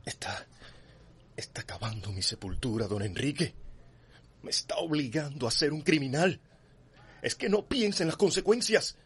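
Very husky Cuban uneasy doctor. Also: male voice, on the high side, expressive, moderate pace, pauses often. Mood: anguished. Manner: formal, protesting, uneasy. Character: anxious, indignant, principled male doctor. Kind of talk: radio drama acting.

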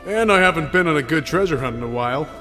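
A man plays an earnest young cartoon echidna guardian, a worrier. The voice is fairly high and lively, with long reverb and faint music. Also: fast, lightly acted. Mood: resolved. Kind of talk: cartoon comic dub acting.

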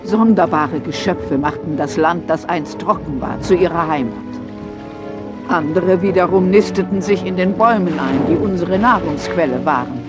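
A man's voice: high-pitched, normal speed, music in the background, lightly acted. A man voicing a grave, weary old female dinosaur who leads a herd, in a children's cartoon audio drama.

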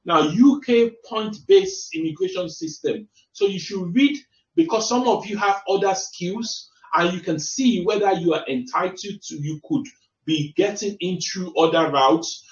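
A fairly high-voiced man with a Nigerian accent, walking viewers through a screen-shared website. He explains patiently and often restarts his phrases. The mic is distant and the room echoes, with a dull lo-fi tone. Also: lively intonation, a little slow. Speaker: careers adviser.

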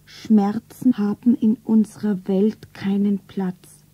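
Breathy, mellow, grieving young shop girl. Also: mid-range voice, level tone, dry recording. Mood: tearful, tender. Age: young adult. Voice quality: breathy, often whispering. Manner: slow, theatrical, self-narrating. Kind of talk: 1970s radio drama acting. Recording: dull radio play recording.

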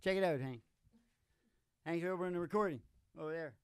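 A man's voice: on the high side, brisk, clean tone, no echo. An older man hosting with casual comic banter in a folksy, joking way, speaking into a stage microphone. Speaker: host and musician. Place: small curtained studio stage. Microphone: stage microphone on stand. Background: acoustic guitar.

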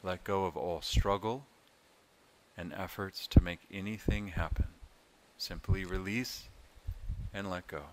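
A man leading a guided meditation as a yoga instructor, speaking in a calm, soothing, unhurried way with long pauses. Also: low-pitched, a little hiss or hum, rough recording.

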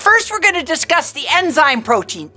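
A woman's voice: high voice, big pitch swings, normal speed, pushed and bright, exaggerated voice. A middle-aged woman playing a strict, cranky schoolteacher who hates phones, scolding and mocking her students in a scripted comedy skit. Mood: lecturing. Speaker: teacher. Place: staged classroom set. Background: music bed.

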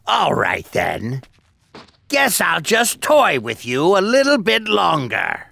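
Cruel alien henchman, anime game villain, gravelly, sharp and bright, mocking, menacing. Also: a little high, huge pitch swings, slow, no room sound, heavily acted. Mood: mocking menace. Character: cruel, taunting male alien henchman of a tyrant. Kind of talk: dubbed anime game acting.

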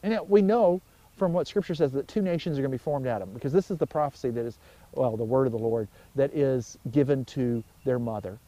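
A man in his forties, a pastor giving an informal, conversational sermon outdoors, telling stories with emphatic pauses. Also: medium pitch, warm tone, dry recording, rough recording. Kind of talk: informal sermon, explaining scripture. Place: outdoors by a wooded pond.

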